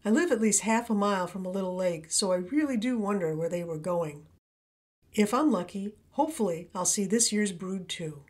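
Female nature-video narrator, soft-edged mellow tone, measured and gentle, mid-range pitch. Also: animated. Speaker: presenter of a backyard birding video for a library. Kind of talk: scripted voice-over narration.

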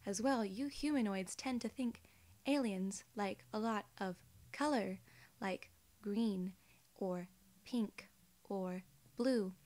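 A soft-spoken woman in her twenties doing an ASMR role-play as a polite alien gatekeeper, with measured, formal phrasing, close to the microphone. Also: mid-range voice. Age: 20s. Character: young, polite, friendly female alien gatekeeper. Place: small indoor room.

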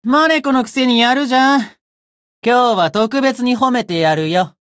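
A woman voicing a cocky, bratty young demon who boasts pompously in rough slang, in a Japanese game character style. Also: fairly high pitch, normal speed, no echo, studio quality, close to an everyday voice. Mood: cocky, teasing.

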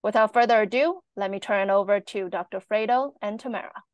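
A woman's voice: mid-range voice, brisk, dry recording. A woman moderating a medical webinar, reading speaker introductions and housekeeping notes in a formal way over a video call. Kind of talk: read introduction.

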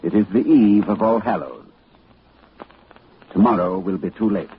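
An actor playing a grave, urgent professor narrating horror in a formal, portentous 1940s radio style. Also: male voice, lowish voice, moderate pace, noisy background, close to an everyday voice. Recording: muffled 1940s radio recording.

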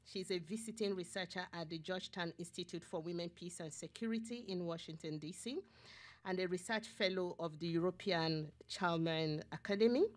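A woman moderating a conference panel from a podium, playful and lively as she introduces speakers. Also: on the low side, brisk. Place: conference room.

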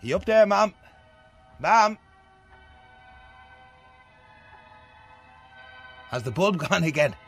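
A man's voice: high-pitched, expressive, fairly bright tone, soft background music. Hesitant Irish man, swooping intonation. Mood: homely, tender. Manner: hesitant, halting, self-deprecating. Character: devoted, bashful working man living with his ageing mother. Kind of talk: radio play acting, naturalistic dialogue.

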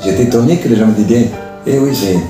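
An older man from Argentina, a priest being interviewed, who speaks calmly and earnestly and often hesitates and repeats words. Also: mid-range voice, fast. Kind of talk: relaxed interview conversation. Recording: echoey, from a distance, with music behind.

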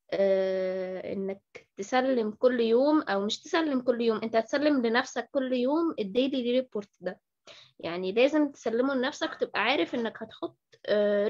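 Egyptian woman over dull call audio. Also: on the high side. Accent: Egyptian Arabic. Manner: gives advice with hesitant fillers. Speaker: session participant.

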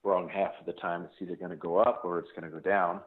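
A man's voice: deep voice, little pitch movement, quick pace. A man answering a sales call, chatting casually over the phone. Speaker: person answering a cold call. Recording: muffled narrow phone line.

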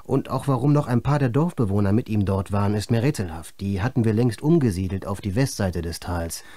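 An actor playing a film director's baffled, subdued assistant, answering earnestly and hesitantly as an interviewee in a radio play. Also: male voice, lowish voice, brisk, mellow, dry recording, natural voice.

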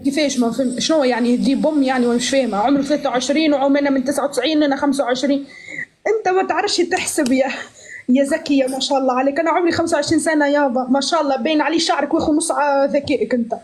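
Fast-talking high-voiced young woman streamer. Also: a little mellow, a little hiss or hum. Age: 20s. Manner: playful, mock-pious teasing, switching dialects. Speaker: live-stream host. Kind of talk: teasing live-stream chat. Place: small room indoors. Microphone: phone at arm's length.

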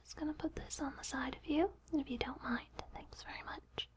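A woman whispering softly and reassuringly in an ASMR hairdresser role play, recorded on binaural microphones. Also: high voice, fairly even intonation, brisk. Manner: soft, gentle whispering, reassuring. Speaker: ASMR host playing a hairdresser. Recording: faint noise, clicks, close binaural mics.

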